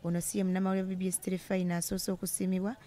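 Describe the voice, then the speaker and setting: A woman reading a scripted television news voice-over in a brisk, steady newsreader manner. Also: lowish voice. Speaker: news reporter.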